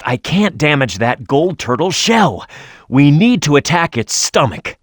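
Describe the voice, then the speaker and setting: A man's voice: mid-range voice, no echo, clean studio sound. A man voicing a tough, hot-headed echidna brawler in a video game, confident and brash, with punchy, emphatic delivery. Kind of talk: video game voice acting, tutorial hints and battle shouts.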